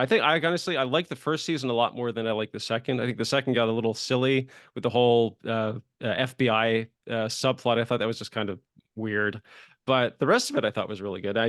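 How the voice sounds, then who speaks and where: A male host talks in an easy, conversational way with chatty enthusiasm. His medium-pitched voice sits in a dry, echo-free recording, as he steers a casual podcast interview. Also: fairly bright tone.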